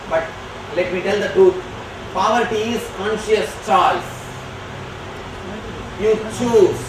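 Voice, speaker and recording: A man whose first language is Tamil, answering audience questions as a spiritual teacher, speaking slowly and emphatically. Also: sits high. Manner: slow, emphatic and declarative. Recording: echoey hall from far off, noisy.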